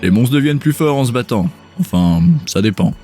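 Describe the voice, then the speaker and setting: A man voicing a cynical, gruff rogue scout in an audio drama, offhand and matter-of-fact as he talks. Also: mid-range voice, expressive, brisk, mellow, natural voice. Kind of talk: audio drama character dialogue. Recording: music under the voice.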